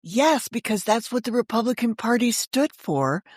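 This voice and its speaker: A woman's voice: a little high, animated, moderate pace, a little mellow, breathy edge, dry recording, clean studio sound. American woman in her sixties, emphatic storyteller. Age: 60s. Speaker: guest, author and audio drama creator. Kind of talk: conversational storytelling in an interview.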